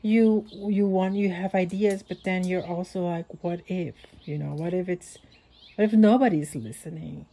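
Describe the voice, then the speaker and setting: A woman talks through her podcast like a long voice note, thinking aloud and restarting her sentences as she goes. Her voice sits a little low and sounds mellow and relaxed. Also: moderate pace. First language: Dutch. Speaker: podcast host. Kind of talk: casual voice-note monologue.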